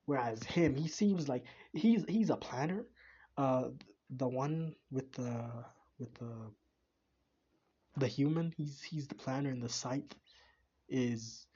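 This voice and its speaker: A man's voice: mid-range voice, slow, dark tone, breathy edge, lo-fi sound. A man reacting to game voice lines, thinking aloud and often repeating words as he searches for them. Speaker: video reactor. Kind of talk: casual commentary.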